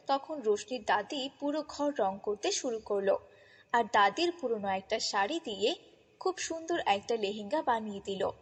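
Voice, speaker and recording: A woman's voice: fairly high pitch, breathy edge. A woman narrating a scripted children's fairy tale as a storyteller. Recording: long reverb, music bed.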